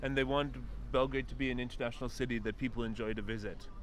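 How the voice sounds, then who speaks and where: A man's voice: medium pitch, steady tone, fast talker, a bit bright, slight background noise. A man interviewing a guest in casual conversation, agreeable, who backs up the guest with frequent "yeah"s. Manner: agreeable, says "yeah" a lot.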